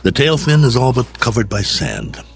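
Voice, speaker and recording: An actor playing a lonely, wry stranded astronaut colonel, musing aloud in a radio drama monologue. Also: male voice, mid-range voice, normal speed, slight background noise, natural voice. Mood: musing, resigned. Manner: reflective, wry, conversational musing. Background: music.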